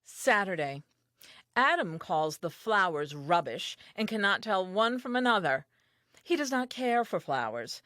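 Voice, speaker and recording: Casting: actress for wide-eyed, curious first wife in a comic audio play; lively melodic delivery, earnest and dreamy, studio-dry sound. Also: female voice, mid-range voice, unhurried, no echo. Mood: mildly reproachful. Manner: earnest, expressive, theatrical. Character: dreamy, curious, wonder-filled first woman Eve.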